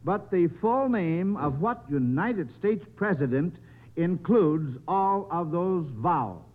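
A man hosts an old-time radio quiz with a folksy, encouraging manner. He talks slowly in a raised-pitch voice. The recording is tinny and narrow, like a 1950s broadcast. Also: lively intonation. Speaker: quiz show host.

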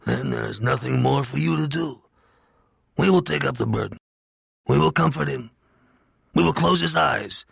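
A man performs an aging crime boss with a slight Italian accent, his husky, gravelly voice pitched above the narrator's own. He speaks in a measured, grave and paternal way, in a dry, close storybook reading. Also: a bit bright, no echo, heavily acted. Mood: solemn.

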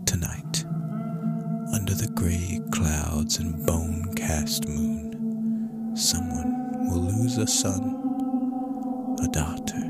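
A man playing an ancient, hungry vampire creature in a horror story, with a breathy rasp and a slow, menacing, theatrical delivery. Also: deep voice, pitched below the performer's own voice, full character voice. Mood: solemn menace. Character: ancient predatory vampire creature, sinister, hungry, contemptuous of humans. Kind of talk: dramatic horror story reading. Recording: loud music under the voice.

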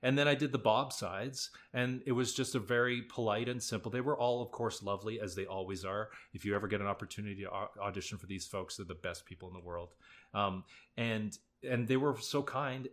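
A man's voice: lowish voice, steady tone, fairly quick, fairly bright tone. A young adult man, a musical theatre actor, telling audition stories in a laid-back, self-deprecating, chatty way. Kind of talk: casual storytelling interview.

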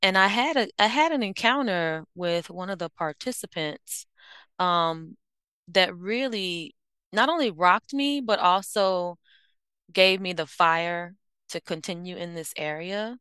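Bright, crisp-voiced woman psychologist. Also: fairly low pitch, unhurried, no room sound. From United States. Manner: thoughtful, with frequent fillers and self-corrections. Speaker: guest, I-O psychologist. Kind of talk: reflective interview answers.

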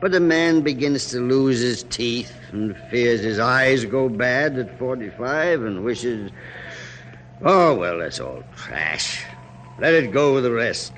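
An actor playing a weary, wry, middle-aged general in a formal, measured classic radio drama style. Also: male voice, mid-range voice, lively intonation, fairly bright tone. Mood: reflective. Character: weary, dignified American general of forty-five, dryly wry. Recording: noisy old radio recording.

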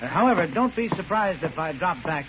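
An actor playing a dry, matter-of-fact, businesslike police inspector in an old radio drama. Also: male voice, a little high, very animated, a little fast. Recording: muffled, hissy old radio recording.

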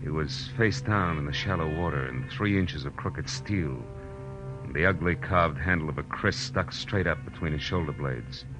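An actor playing a tough, wry private detective in 1940s radio drama style, terse and dramatic. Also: male voice, rumbling low, normal speed. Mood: tense narration. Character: tough, wry male private detective narrating his case. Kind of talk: 1940s radio drama acting, hard-boiled narration. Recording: muffled old radio recording with music.

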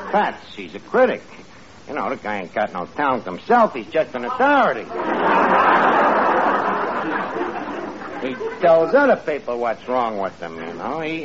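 Brash, slangy New York tavern manager. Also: male voice, on the high side. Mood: know-it-all. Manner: slangy, ungrammatical, blustering. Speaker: comedy show lead. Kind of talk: 1940s-50s radio comedy acting. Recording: muffled vintage radio recording with noisy studio audience.

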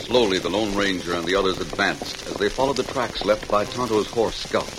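Deep, dramatic old-time radio announcer man. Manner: dramatic, formal, measured announcer delivery. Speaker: announcer and narrator. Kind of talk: 1940s radio drama narration. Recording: noisy, hissing vintage radio recording.

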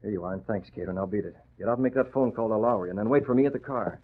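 An actor playing a cool, commanding masked vigilante in a 1940s radio drama, giving clipped, taunting orders. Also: male voice, on the low side, very fast, natural voice. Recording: muffled tinny vintage radio recording.

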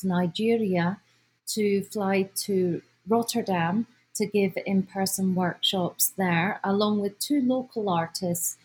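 A woman with a Scottish accent informally presenting an event as its organizer, speaking haltingly as she searches for words. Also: medium pitch, a little mellow, breathy edge, low-quality recording. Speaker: symposium organizer, guest speaker.

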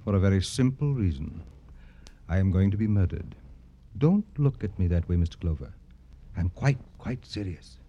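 An actor in an old-time radio drama playing an arrogant, jaded, wealthy satirist who talks in florid, affected, theatrical phrases. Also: male voice, deep voice, lively intonation, moderate pace, dark tone, dull audio, slight background noise. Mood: world-weary, self-dramatizing.

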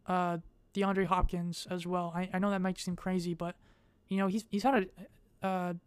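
A young adult woman vlogging in a casual, rambling way. She speaks in a monotone and hesitates often, with many fillers. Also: on the low side, moderate pace, smooth, no echo.

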